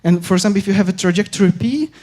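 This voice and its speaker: Young man from Ukraine, hesitant academic presenter, warm darker voice. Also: high voice. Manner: halting, many filler pauses and restarts. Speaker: conference speaker. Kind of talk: academic conference talk.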